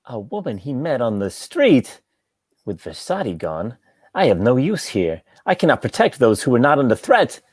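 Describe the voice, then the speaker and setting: Woman playing a pompous, low-voiced indignant male lodger. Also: sing-song, moderate pace, a little mellow, slightly rough, no echo, studio quality, heavily acted. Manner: pompous, theatrical. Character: indignant, self-important male lodger storming out. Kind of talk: theatrical table read of a comic play.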